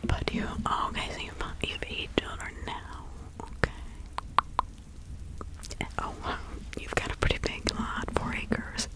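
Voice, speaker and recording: A woman voicing a kindly, chatty older village witch in an ASMR roleplay, speaking in a breathy, halting, confiding whisper. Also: natural voice, low-quality recording. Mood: confiding, advising. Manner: slow, halting, soothing, confiding.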